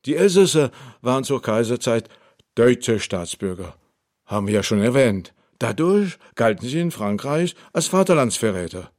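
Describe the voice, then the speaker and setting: Eloquent, wry Alsatian theologian. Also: male voice, mid-range voice, darkish tone. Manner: rhetorical, emphatic, declaiming. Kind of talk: radio drama acting.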